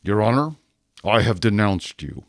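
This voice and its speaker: A man's voice: on the low side, very animated, no room sound, studio quality. Man acting a rigid police inspector, dramatic swings. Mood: grave confession. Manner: formal, deliberate, stiffly deferential delivery. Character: rigid, dutiful male police inspector, self-accusing and obsessive.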